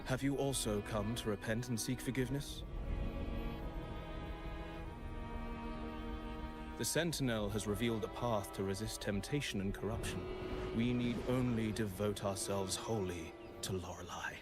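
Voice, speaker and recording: Low, solemn actor as a devout cult preacher. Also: male voice, fairly even intonation, loud music behind the voice, natural voice. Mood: serene piety. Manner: solemn, preachy, deliberate phrasing. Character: devout male cult preacher, serene and fervent. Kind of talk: video game voice acting.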